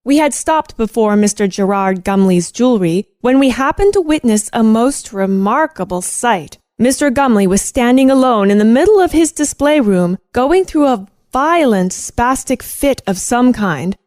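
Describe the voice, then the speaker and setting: A woman's voice: fairly high pitch, expressive, moderate pace, hardly any pauses, a little mellow, no echo, studio quality, natural voice. A woman playing an earnest, inquisitive teenage girl who narrates and talks in a formal, proper way in a radio play. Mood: storytelling. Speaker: narrator and sidekick.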